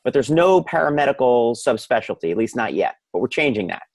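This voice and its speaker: A man's voice: mid-range voice, very animated, fairly quick, no room sound. A male physician explains his specialty as an interview guest. His voice sits in a middle range and is recorded dry, in a conversational, explanatory style. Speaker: hair restoration physician, expert guest.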